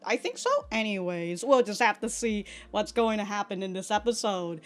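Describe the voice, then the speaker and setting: A woman's voice: mid-range voice, normal speed, soft background music. A woman hosting a reaction video, commenting casually in slangy, informal language with frequent interjections.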